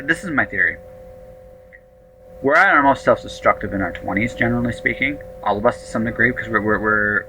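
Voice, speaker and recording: A man in his late thirties hosting an interview podcast, chatting casually in an animated, excitable way and stumbling over his words. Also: fairly low pitch, a little hiss or hum, low-quality recording. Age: 30s. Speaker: podcast host.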